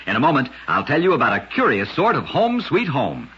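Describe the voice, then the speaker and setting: A man narrating short scripted stories in an old-time radio style, with an emphatic showman delivery and a husky voice. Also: medium pitch, huge pitch swings, brisk, a bit bright. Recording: dull, lo-fi old radio recording.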